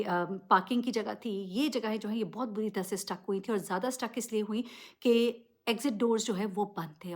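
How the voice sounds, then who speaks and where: Emphatic woman giving news commentary. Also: mid-range voice. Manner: emphatic, direct address with rhetorical questions. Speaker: vlogger presenting news commentary. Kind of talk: unscripted news commentary to camera.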